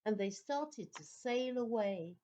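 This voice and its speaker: High-voiced, slow-paced woman storyteller. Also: rough recording. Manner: expressive, dramatic storytelling. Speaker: narrator. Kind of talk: scripted children's story narration.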